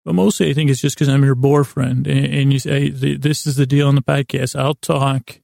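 A man hosting a bedtime podcast in a gravelly voice, rambling and meandering with halting repeats as he tries to lull listeners. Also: mid-range voice, little pitch movement, a little mellow, no room sound, clean studio sound. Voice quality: gravelly, creaky. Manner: meandering, halting, repeating himself, soothing intent. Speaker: podcast host.

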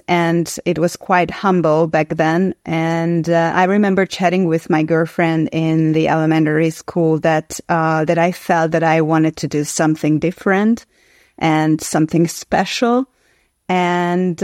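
A Hungarian-accented woman in her forties recounts her past in an earnest, reflective interview, pausing on small fillers as she thinks. Her voice sits low, and the recording is close and dry with no room sound. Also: darkish tone, no echo. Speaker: guest actress. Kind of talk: interview storytelling.

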